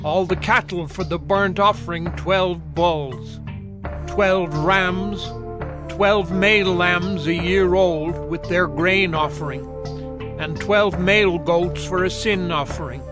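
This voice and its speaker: A man with a notably high voice reads scripture as a narrator. He is formal and takes his time, with music clearly playing behind him. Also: steady tone. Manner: measured, formal. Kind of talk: dramatized scripture reading.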